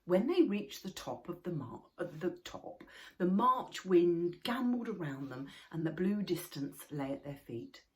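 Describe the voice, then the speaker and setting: A woman reading a children's book aloud in an expressive way, sometimes dropping to a whisper. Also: fairly low pitch, a little slow, rough recording. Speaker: storybook reader.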